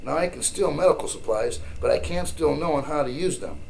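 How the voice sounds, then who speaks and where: An actor playing a gruff, sardonic rebel mentor with folksy, brusque speech in a radio drama. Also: male voice, fairly high pitch, animated, a little fast, darkish tone, a little hiss or hum, rough recording. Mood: dry, insistent. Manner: folksy slangy, wry, brusque.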